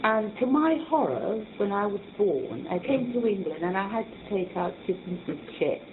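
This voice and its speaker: A woman's voice: mid-range voice, sing-song, moderate pace. An older woman, born in Jamaica, speaking emphatically and with indignation in a group discussion. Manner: emphatic, indignant, laughing as she tells it. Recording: tinny lo-fi recording with clear hiss.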